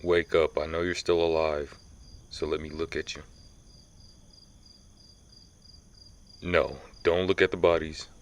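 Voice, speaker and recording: A man's voice: a bass voice, flat delivery. A man playing a centuries-old vampire who hunts vampires, stern, commanding and menacing, in a scripted ASMR audio roleplay. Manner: slow, menacing, interrogating.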